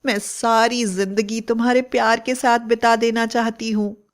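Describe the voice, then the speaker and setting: A woman's voice: on the high side, flat delivery, normal speed, close to an everyday voice. A woman voicing a coaxing, affectionate young wife with an eerie secret, in a storybook tale. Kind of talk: dramatized Hindi storybook acting.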